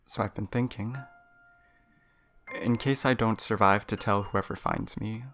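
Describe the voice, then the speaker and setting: An actor playing a troubled, hesitant space traveler facing death alone in an audio drama, haltingly searching for words. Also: mid-range voice, fairly even intonation, gravelly, a bit bright, a little hiss or hum. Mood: resigned.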